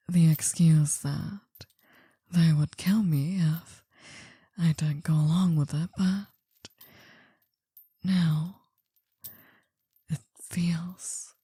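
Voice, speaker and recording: A woman playing an older female mafia boss in an intimate ASMR roleplay, possessive, doting and soothing, in a breathy half-whisper. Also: sits low, steady tone, warm tone, no echo, studio quality, full character voice. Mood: weary, confiding. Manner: slow, soothing, coaxing.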